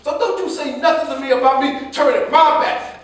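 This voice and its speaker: A man's voice: extremely high pitch, brisk. An actor playing an older father, accusing and grief-stricken, in a theatrical staged reading. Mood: furious, grieving. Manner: accusing, forceful, repeats phrases for emphasis. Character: grieving, furious older father disowning his murderer son. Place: indoor hall, staged reading. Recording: echoey hall, distant microphone.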